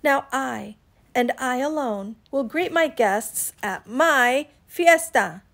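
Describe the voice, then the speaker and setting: A woman's voice: on the high side, animated, normal speed. A woman voicing a hardworking female storybook iguana, emphatic and insistent with her lazy friends. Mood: angry, insistent.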